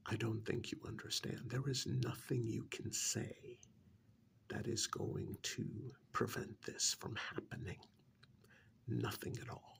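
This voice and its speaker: A man playing a calm, menacing killer in a soft-spoken ASMR horror role-play, hushed and deliberate, with a condescending, musing manner. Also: on the low side, heavily acted. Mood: cold, scolding. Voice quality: breathy half-whisper with gravelly creak. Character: calm, menacing male killer who preserves victims as art.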